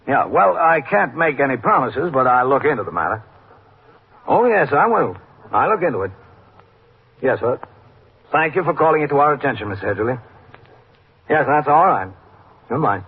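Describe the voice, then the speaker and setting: An actor playing a weary, businesslike police captain in a matter-of-fact, clipped classic radio drama style. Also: male voice, medium pitch, lively intonation, moderate pace, pauses often, close to an everyday voice. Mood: dutifully polite, weary. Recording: narrow, noisy old radio recording.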